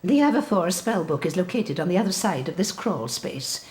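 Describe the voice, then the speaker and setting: A woman's voice: fairly low pitch, sing-song, moderate pace, a little mellow. A woman with a British accent playing a strict witch professor in a game, formal, precise and authoritative. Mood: instructive, formal.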